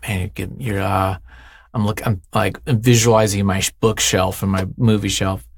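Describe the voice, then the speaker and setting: A man's voice: on the low side, level tone, normal speed, no room sound, clean studio sound. A man speaking as an interview guest in casual, unscripted chat, effusive and emphatic, often thinking aloud.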